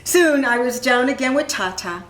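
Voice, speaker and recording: Female storyteller, picture-book read-aloud, highly animated intonation, dramatic delivery, roomy echo. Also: normal speed. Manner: animated, dramatizes dialogue, asks listeners questions. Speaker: instructional coach reading aloud to children. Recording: echoey room.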